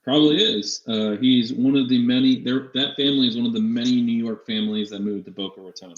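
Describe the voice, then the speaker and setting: A man co-hosting a casual fantasy sports live show, talking in a halting way with repeated and restarted phrases. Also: medium pitch, steady tone. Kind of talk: casual sports talk banter. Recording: echoey room, dull lo-fi mic.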